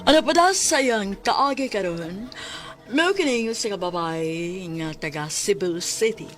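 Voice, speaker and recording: A woman's voice: medium pitch, lively intonation, measured pace. A woman with a Cebuano accent presenting a radio drama in scripted narration. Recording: loud music behind the voice.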